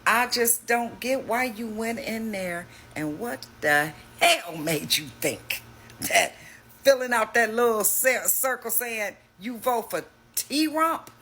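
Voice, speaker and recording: A man's voice: very high-pitched, animated, slow pace, bright, forceful tone, slight background noise. A man hosting a reaction video, talking casually in a mocking, slangy way.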